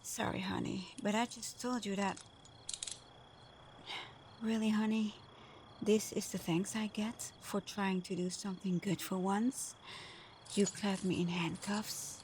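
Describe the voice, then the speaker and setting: Slow, teasing female supervillain played by a woman. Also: medium pitch, fairly even intonation, a little mellow, slight background noise, natural voice. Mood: teasing, sarcastic. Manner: teasing, breathy murmurs and drawn-out hums. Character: flirtatious, sly female supervillain teasing her hero nemesis. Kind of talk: ASMR roleplay, scripted.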